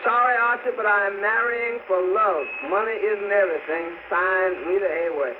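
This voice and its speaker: An actor playing deadpan bit parts in a 1940s radio comedy, heard on a shortwave broadcast. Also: male voice, high-pitched, moderate pace, lightly acted. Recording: very noisy, tinny shortwave old-radio broadcast.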